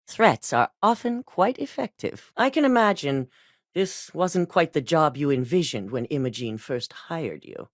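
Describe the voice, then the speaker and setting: A cold, calculating corporate executive woman from a video game. Her voice sits low, but she moves it around a lot as she hands down orders in a measured, unhurried way. The recording is dry and close. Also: no echo. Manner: composed, measured and coolly authoritative. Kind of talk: game voice acting.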